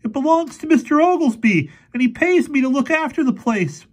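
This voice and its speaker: A belligerent teenage boy in a storybook, pitched way up and loud. He snaps out threats with a mean, bullying edge. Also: expressive, fairly quick. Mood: menacing. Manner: belligerent, snapping and yelling. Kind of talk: audiobook character voice.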